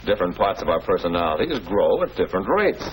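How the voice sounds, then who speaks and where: A man's voice: sits low, sing-song, fast, husky, full character voice. An actor with an American accent playing a patient, fatherly schoolmaster who explains things in a 1940s educational film. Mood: patient, instructive. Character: patient, avuncular male school principal or teacher. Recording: noisy old 1940s film soundtrack.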